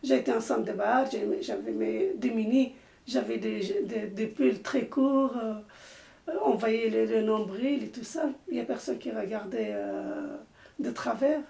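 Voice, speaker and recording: Older Turkish-born woman, halting, gravelly. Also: fairly high pitch, lively intonation, mellow. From Turkey. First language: Arabic. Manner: hesitant, halting speech with many restarts. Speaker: interviewee, mother. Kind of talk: personal testimony. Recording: echoey room, voice from a distance.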